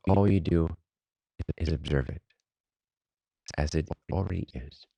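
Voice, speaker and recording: A man leading a guided meditation as a teacher, unhurried and informal, speaking in short phrases with pauses between them. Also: rumbling low, creaky, mellow, no echo, poor audio.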